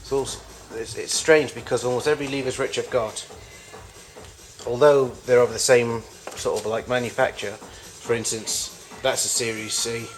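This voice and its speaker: A man giving an informal, unscripted show-and-tell as a collector of old machines, in a halting, rambling way with frequent self-corrections. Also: mid-range voice, steady tone, soft background music. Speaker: collector showing vintage tape recorders.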